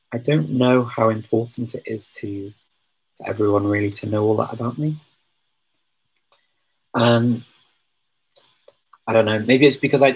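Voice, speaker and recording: A man's voice: fairly low pitch, creaky, husky, poor audio. A man answering viewer questions in a casual live chat, talking hesitantly with many ums and restarts. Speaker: language-learning streamer answering viewer questions.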